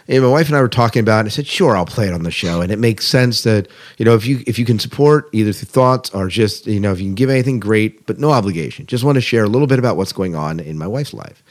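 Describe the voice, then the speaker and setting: A man's voice: fairly low pitch, expressive, fast, hardly any pauses. A man hosting a podcast in a casual style, chatting and reading out news with conversational asides. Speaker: podcast host.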